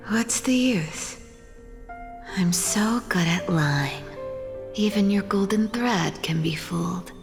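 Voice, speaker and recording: A woman voicing a guarded young female thief and habitual liar in a video game, with a slow, teasing, self-deprecating way of talking. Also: mid-range voice. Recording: long hall reverb over music.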